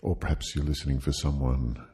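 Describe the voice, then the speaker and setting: A man leading a guided sleep hypnosis, speaking soothingly and gently in short, halting phrases with long pauses. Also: extremely low pitch, flat delivery, breathy edge, soft background music.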